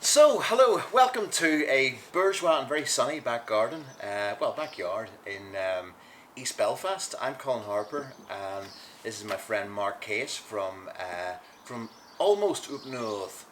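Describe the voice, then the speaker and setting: A man hosting a casual chat in a back garden, joking and filling pauses with ums and uhs. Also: mid-range voice, animated, a bit bright. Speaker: host, music writer. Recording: noisy outdoor recording.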